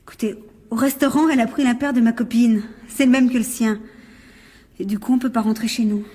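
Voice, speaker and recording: A young woman in a radio play, with a fairly high voice. She talks in brisk slang, mocking and impatient, as if brushing off every worry. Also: steady tone, mellow, breathy edge. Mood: weary politeness. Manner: slangy, teasing, exasperated. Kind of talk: radio drama acting.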